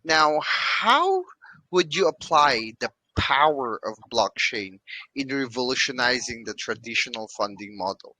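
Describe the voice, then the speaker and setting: Male host, slow and bright, dry close sound, deliberate questions. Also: medium pitch, big pitch swings, no room sound, poor audio. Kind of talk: online interview hosting.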